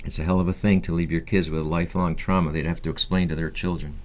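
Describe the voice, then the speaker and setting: A man in his fifties with a deep, low-pitched voice reads a personal story aloud. It is scripted first-person narration in the manner of an audiobook, delivered by the author. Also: little pitch movement, fast talker. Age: 50s. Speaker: author reading a personal memoir.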